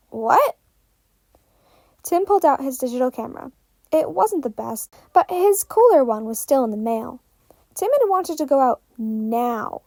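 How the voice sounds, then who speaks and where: A woman reading fan fiction aloud as an expressive story narrator. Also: high-pitched, dry recording.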